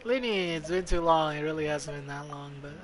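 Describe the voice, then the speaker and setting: A man doing casual live commentary as a game streamer, with playful, sweary, excitable asides. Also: a little high, fairly quick, soft background music.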